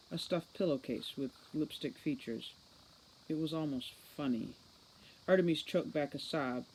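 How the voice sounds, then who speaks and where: A woman reading an audiobook novel aloud in an expressive storytelling style. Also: sits low, poor audio. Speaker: audiobook reader. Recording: faint background noise.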